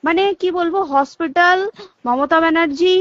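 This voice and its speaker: A high-voiced young Bengali woman from West Bengal speaks in an impassioned, conversational way, insistent and indignant. She drives points home with rhetorical questions. The sound is a dull, lo-fi phone recording with a dry, close feel. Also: fairly even intonation, moderate pace, a bit bright, no echo.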